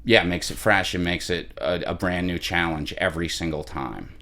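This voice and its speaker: A young man, an actor, answering interview questions in an earnest, measured way with some restarts. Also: deep voice, bright, forceful tone. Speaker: actor guest.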